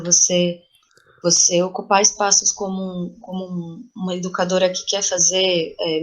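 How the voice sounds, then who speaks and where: A Brazilian woman speaking reflectively as an interview guest, pausing and repeating phrases as she thinks. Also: medium pitch. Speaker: playwright and theatre artist, podcast guest.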